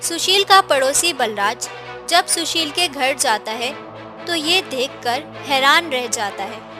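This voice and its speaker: A woman's voice: high voice, lively intonation, slow pace. A woman narrating a scripted cartoon moral story as its storyteller. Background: music.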